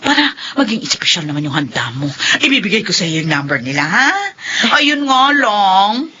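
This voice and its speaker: A woman's voice: medium pitch, sing-song, normal speed, pushed and bright. An actress playing a boastful, vain, condescending well-off woman in a Filipino radio drama. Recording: dull lo-fi audio with clear hiss.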